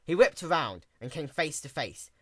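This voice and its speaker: A man's voice: a little high, sing-song, moderate pace, no room sound, studio quality. A man giving a dramatic story reading with a theatrical, wry and emphatic delivery. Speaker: story narrator.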